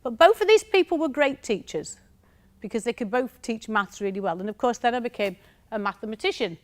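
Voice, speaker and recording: Woman, northern English accent, slightly high voice, conference talk, chatty anecdotes, keeps asking the room "okay?". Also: expressive, brisk. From north of England. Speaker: university researcher giving a conference talk. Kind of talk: conference presentation.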